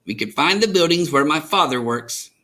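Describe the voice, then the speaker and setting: A man reading a children's picture book aloud as an expressive storyteller, enunciating for young listeners. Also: fairly high pitch, moderate pace.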